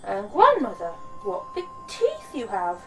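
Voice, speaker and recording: A woman's voice: medium pitch, wildly swooping pitch, a little slow, fairly bright tone, soft background music, heavily acted. A woman voicing a sweet, trusting small girl in a storybook fairy tale, innocent and sing-song. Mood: amazed. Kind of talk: children's bedtime storybook reading.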